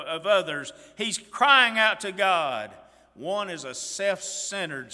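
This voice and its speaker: An older male pastor delivers a sermon from the pulpit in a higher, bright and forceful voice. He rises to loud emphatic peaks and drops to quiet lines, often restarting his words. The sound carries a long church reverb. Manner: emphatic preaching with wide swings in loudness, stumbling restarts. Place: church sanctuary stage behind a pulpit.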